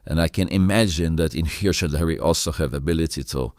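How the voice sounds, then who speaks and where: A man with a non-native English accent hosting an interview chat, halting and stammering, often repeating words. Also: rumbling low, a little mellow, dry recording, studio quality. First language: not English. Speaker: host.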